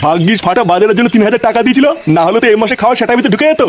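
Very high, racing Bengali cartoon villager man acting. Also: racing, slight background noise, close to an everyday voice. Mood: scolding, angry. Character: excitable, scolding, foul-mouthed Bengali villager in a comic cartoon. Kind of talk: comic cartoon dialogue with crude slang.

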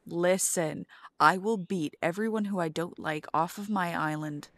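A young woman doing a soft, playful, teasing ASMR girlfriend roleplay. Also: on the low side, unhurried, dry recording.

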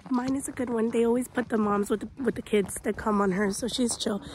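A young woman vlogging to camera at an outdoor petting farm, gushing excitedly and chatting to the animals, filmed on a phone held at arm's length. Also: a little high, brisk. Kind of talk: casual vlog chat to camera. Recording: noisy outdoor phone recording.

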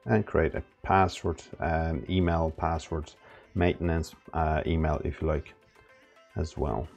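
A man narrating a casual step-by-step product setup tutorial in a plain, halting instructional way. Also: very deep voice, fairly even intonation, gravelly, a little mellow. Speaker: product demonstrator. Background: background music.

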